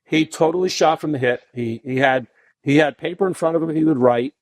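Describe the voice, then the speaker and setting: A middle-aged man, a podcast guest telling long animated anecdotes full of restarts. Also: brisk, no room sound. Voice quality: deep voice. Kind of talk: casual storytelling interview.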